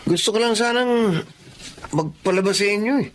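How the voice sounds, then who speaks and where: A man's voice: high voice, moderate pace, slight background noise, natural voice. A man playing a nervous, evasive young man. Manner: polite but evasive, uses ho. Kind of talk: Filipino radio-style drama acting.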